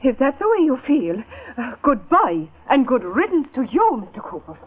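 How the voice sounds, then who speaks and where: Huffy landlady: high, animated, chattering radio-play delivery, muffled old recording. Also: moderate pace, slight background noise. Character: chatty, huffy female lodging-house keeper. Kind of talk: 1930s-40s radio drama acting.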